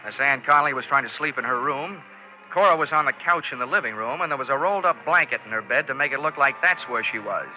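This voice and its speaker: Hard-boiled American male investigator, wry deadpan delivery, vintage radio drama, muffled band and clear music underneath. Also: mid-range voice, fast talker. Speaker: lead actor and narrator. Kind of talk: 1940s radio detective acting. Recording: band-limited 1940s radio, music behind.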